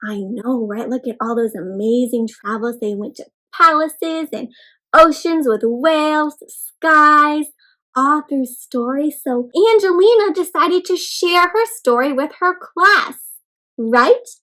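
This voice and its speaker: High-pitched woman reading to young children. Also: slow, low-quality recording. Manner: expressive, encouraging, asks the listener questions; addresses listeners as friends. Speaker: children's read-aloud host. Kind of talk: interactive storybook read-aloud for young children.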